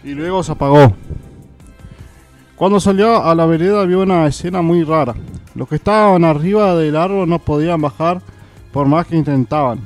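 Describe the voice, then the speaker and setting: A man's voice: on the high side, normal speed, darkish tone, soft background music. A man reading a scripted folk tale as the narrator of a radio play, with an occasional stumble. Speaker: storyteller, narrator.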